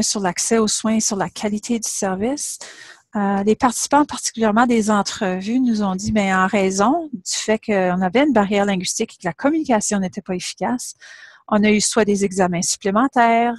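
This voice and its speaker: A woman's voice: medium pitch, no room sound. A middle-aged woman, a university professor giving a webinar presentation and setting out her points in order. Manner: explanatory, measured, lays points out in order.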